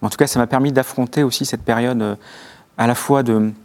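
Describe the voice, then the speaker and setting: A young man from Belgium, speaking as an interview guest, soft-spoken and hesitant with many restarts as he tells his faith story. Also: medium pitch, steady tone, brisk. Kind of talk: reflective faith testimony interview.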